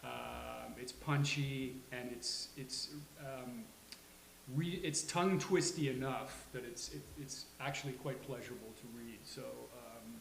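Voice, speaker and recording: Male actor in casual discussion, mid pitch, halting and wry, roomy live recording with hiss. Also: gravelly, a bit bright. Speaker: actor discussing a table read. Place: live venue with a small studio audience. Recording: echoey room with hiss.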